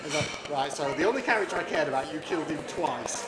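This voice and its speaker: Casual male interviewer, high voice, echoey and very noisy pub recording. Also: lively intonation, fast, over a noisy crowd. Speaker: podcast host. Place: busy pub, indoors. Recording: echoey pub, loud background noise.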